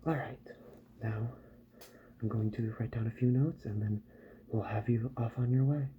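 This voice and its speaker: A man doing a soft-spoken ASMR roleplay as a calm, polite doctor who repairs robots, murmuring gently and often dropping into a whisper. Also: medium pitch, phone-quality sound, a little hiss or hum. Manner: gentle, slow, murmured reassurance to the listener.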